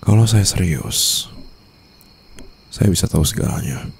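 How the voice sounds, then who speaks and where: A man playing a menacing, teasing mafia boss newly married, in an ASMR romance roleplay, with a gravelly, murmuring voice and a smug, formal, controlled manner. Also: big pitch swings, measured pace, a little mellow, exaggerated voice. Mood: smug menace. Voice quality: very deep, gravelly, often dropping to a breathy murmur.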